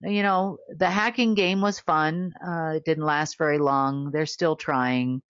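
A woman's voice: low voice, steady tone, unhurried, smooth, dry recording, highs cut off. A middle-aged woman talking to camera in a studio, rambling and easygoing, with many fillers and small laughs. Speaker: guest reporter. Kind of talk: unscripted monologue to camera. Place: studio with plain backdrop.

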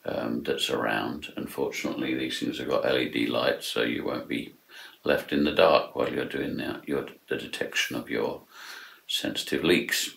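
A man in his fifties talks through a technical demonstration in a very deep, low voice. He explains at a measured, steady pace and fills his pauses with frequent ums. He is recorded on a close camera at his desk in a small room lined with acoustic foam. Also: creaky. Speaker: product demonstrator.

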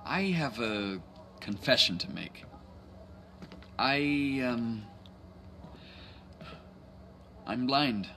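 A man's voice: mid-range voice, lively intonation, takes it slowly, bright, forceful tone, slight background noise, full character voice. A man playing a courteous, earnest Chinese crime boss in a video game cutscene, with formal, polite wording. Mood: sheepish.

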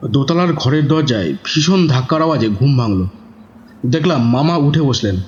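A man's voice: medium pitch, expressive, moderate pace, a little mellow, lightly acted. A man playing a devoted young nephew who narrates a horror audio drama in a dramatic, scripted style. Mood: tense narration. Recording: dull lo-fi, music behind.

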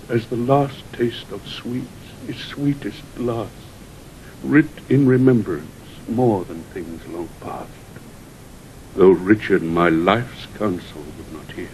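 A man's voice: a little mellow, rough recording. An actor playing a dying old nobleman, solemn and prophetic, declaiming weighty Shakespearean verse in an audio drama. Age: older. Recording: vintage 1960 recording with faint rumble.

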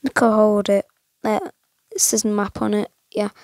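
A young woman gives loose, meandering commentary while she plays, filling the gaps with likes and ums. Her voice sounds a bit croaky and gravelly, and her talk is slow and unhurried. The sound is dry and close, with no room around it. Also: medium pitch, fairly even intonation, a little mellow, dry recording. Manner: chatty, rambling with many fillers. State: slightly croaky voice. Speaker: gaming channel host. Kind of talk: casual gameplay commentary.

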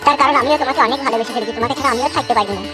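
A man's voice: extremely high pitch, rapid-fire, loud music behind the voice. A man voicing a put-upon, earnest young village husband in a comic cartoon.

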